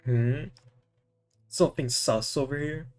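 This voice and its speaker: A man's voice: medium pitch, fairly even intonation, takes it slowly, darkish tone, soft background music. A man streaming a video game, dropping casual, terse, muttered reactions as he plays.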